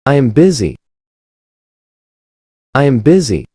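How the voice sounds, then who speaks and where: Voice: medium pitch, big pitch swings, slow, dark tone, slightly rough, no echo, clean studio sound. A voice modeling short phrases for learners, saying each one twice and carefully. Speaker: pronunciation model. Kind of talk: slow phrase drills.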